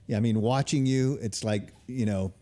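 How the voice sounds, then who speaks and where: A man's voice: mid-range voice, normal speed, warm tone. A man co-hosting a talk show, chatting casually and putting questions to the guest. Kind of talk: casual interview banter.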